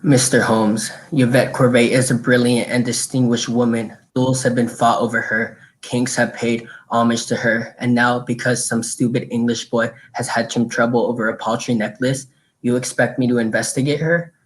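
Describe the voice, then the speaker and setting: Man playing chief inspector, radio drama, proud, suave, deliberate, condescending. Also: medium pitch, flat delivery, natural voice, poor audio. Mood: haughty, dismissive.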